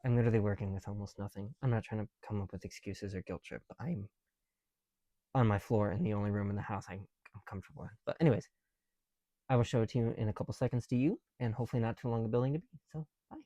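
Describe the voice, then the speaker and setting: A man talking casually and informally to viewers in a soft, mellow voice, in the manner of a homemade ASMR video. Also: lowish voice, quick pace, no echo. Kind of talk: casual ASMR chat while building bricks. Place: small room at home, on the floor.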